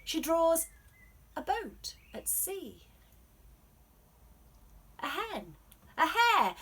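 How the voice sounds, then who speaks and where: A woman telling stories to children, explaining things gently in an unhurried, expressive read-aloud way. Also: sits high. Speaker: storyteller.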